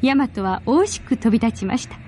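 A woman narrating a radio drama in a deliberate, expressive storytelling manner, as in a 1970s broadcast. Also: a little high, a little hiss or hum.

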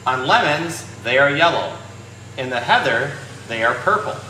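Man, thirties, casual animal educator and storyteller. Bright, conversational delivery, echoey room. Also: medium pitch, expressive, normal speed. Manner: conversational, explanatory. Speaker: zookeeper and pet store presenter. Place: small indoor room with painted aquarium backdrop. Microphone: phone at arm's length. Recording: echoey room, faint background noise.

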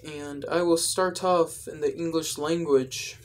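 A young adult man explaining things in a casual vlog style, with careful, halting phrasing, recorded indoors at home. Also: on the high side, steady tone. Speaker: video creator. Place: indoors, small room at home.

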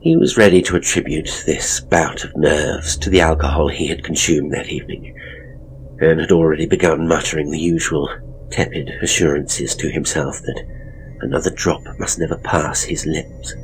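Man playing a deep, theatrical ghost tour guide. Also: fairly even intonation, a little hiss or hum, rough recording. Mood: suspenseful, grim. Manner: theatrical, pompous, drawn-out suspenseful phrasing. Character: theatrical, self-important male ghost tour guide spinning gruesome tales. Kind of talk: dramatic horror storytelling.

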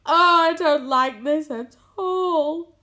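Storybook earthworm, read by a woman: fearful, whiny complainer, high wailing delivery. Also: slow, pushed and bright, heavily acted. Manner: wailing, begging.

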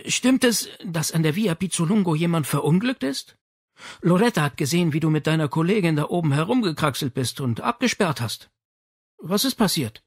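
Guy doing an exasperated worried italian mama for an audiobook, high and husky, plaintive. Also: animated, normal speed, no echo, studio quality. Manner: plaintive, fretful. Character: exasperated, indignant, worrying Italian mother. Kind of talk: audiobook character acting.

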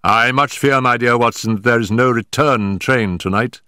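A man voicing a sharp, self-assured male detective who speaks with crisp emphasis in a storybook reading. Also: mid-range voice, normal speed, no echo, clean studio sound, pitched above the performer's own voice, lightly acted. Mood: amused. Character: sharp, commanding male detective, middle-aged.

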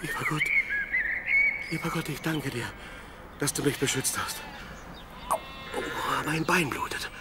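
An actor playing a plucky, devout young shepherd, the youngest brother, with earnest, dramatic delivery in an audio drama. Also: male voice, on the high side, measured pace, long pauses, natural voice. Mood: relieved, tender. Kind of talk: German audio drama acting. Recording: clearly noisy radio play with sheep sounds.

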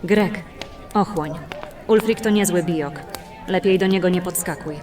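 A woman's voice: on the low side, normal speed, a little mellow, some chatter behind, lightly acted. Woman acting a practical adventurer, slightly distant. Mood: calming, firm. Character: practical, level-headed female fantasy adventurer. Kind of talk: fantasy audio drama acting.

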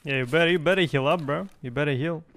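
A man's voice: fairly high pitch, quick pace, background noise. A young man from Russia chatting casually while streaming an online game, repeating short phrases, recorded close on a mic at a desk. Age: young adult. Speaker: streamer playing an online shooter. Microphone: boom mic close up, with headset.

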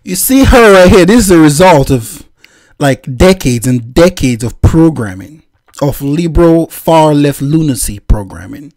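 Animated, laughing man ranting. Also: fairly high pitch, a little slow. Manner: laughs often, exclaims, rants. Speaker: reaction video host. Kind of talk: mocking reaction commentary.

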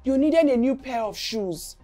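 A woman's voice: high voice, animated, measured pace, mellow, faint music behind. A woman acting a distraught, angry mother with a Nigerian accent in a film drama, scolding and pleading. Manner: scolding, pleading, repeats questions.

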